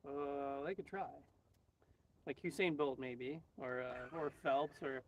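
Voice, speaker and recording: A man's voice: mid-range voice, slow. Rambling, jokey man, slightly distant mic. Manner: rambling, jokey, thinking aloud. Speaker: livestream guest and radio hobbyist. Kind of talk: casual live chat. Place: outdoors in a quiet spot with birds. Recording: off-mic, faint background noise.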